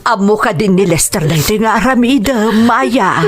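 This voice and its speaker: A woman's voice: medium pitch, expressive, moderate pace, close to an everyday voice. A woman with an Ilocano accent playing a worried, caring mother in a radio drama. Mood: concerned. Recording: loud background music under the voice. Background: music.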